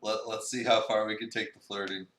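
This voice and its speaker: A man's voice: on the low side, flat delivery, brisk, a bit bright. A man bantering casually as a co-streamer. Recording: roomy, distant mic.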